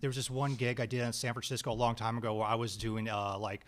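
A man being interviewed about his film work, talking casually in a halting, rambling way with many restarts, recorded on a desk stand mic in a small studio. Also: mid-range voice, little pitch movement. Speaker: guest actor and screenwriter.

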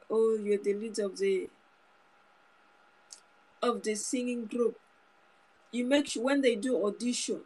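Husky woman preaching earnestly to camera. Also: a little high, darkish tone, low-quality recording. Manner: earnest, repetitive, restarts phrases. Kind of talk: informal sermon to camera. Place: small indoor room. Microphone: phone camera close up.

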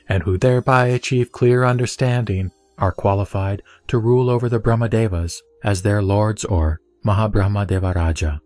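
A man reciting a Buddhist scripture in a measured, solemn way, carefully sounding out Sanskrit names. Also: mid-range voice, darkish tone, dry recording. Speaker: sutra reciter.

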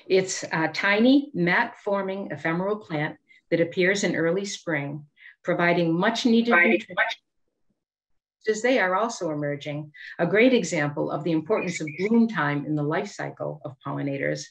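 Female presenter, middle-aged, Boston accent, lowish voice, measured scripted delivery for an educational slide talk on video call. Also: poor audio. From Boston area, United States. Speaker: master gardener giving a presentation.

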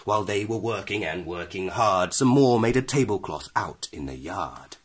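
A man's voice: low voice, animated, moderate pace, slightly rough. A man narrating a children's storybook in rhyming verse, reading expressively with a dramatic, rhythmic delivery. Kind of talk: expressive scripted read-aloud of rhyming verse.

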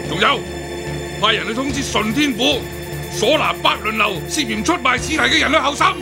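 An actor with a Hong Kong Cantonese accent playing a domineering, scornful older official who scolds others in a radio drama. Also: male voice, very high-pitched, moderate pace, gravelly, music in the background. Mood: imperious, angry. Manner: imperious, scolding.

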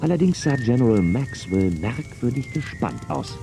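A man's voice: medium pitch, big pitch swings, moderate pace, gravelly, mellow, faint music behind. A man playing a sheriff who narrates his own western adventure in a scripted children's audio drama. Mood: storytelling.

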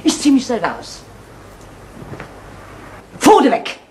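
An actress playing a defiant, foul-mouthed masseuse in a 1970s crime radio play, brash and slangy, snapping at people. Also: female voice, sits high, wildly swooping pitch, slow, exaggerated voice. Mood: aggressive. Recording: old radio recording, slight noise.

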